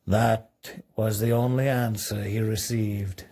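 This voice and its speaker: A man with a Southern US accent reading a storybook aloud in a deliberate, measured manner. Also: lowish voice, fairly even intonation, dark tone, breathy edge. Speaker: story reader. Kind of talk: storybook narration, read aloud.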